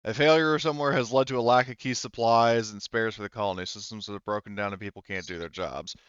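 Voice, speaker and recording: A man narrating a tabletop roleplaying game as game master, explaining things informally and haltingly as he improvises. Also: lowish voice, fairly even intonation, fairly bright tone, clear, resonant tone, no echo, highs cut off.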